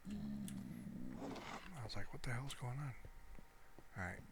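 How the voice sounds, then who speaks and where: A man giving casual, rambling gameplay commentary, muttering to himself in a low-key way. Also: a little slow. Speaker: gamer narrating his own gameplay. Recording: clear background noise, mouse clicks.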